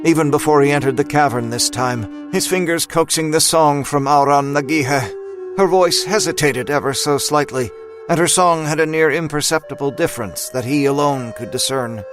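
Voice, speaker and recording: A man's voice: on the high side, moderate pace, music in the background. A man narrating a scripted audio drama in a dramatic storytelling style.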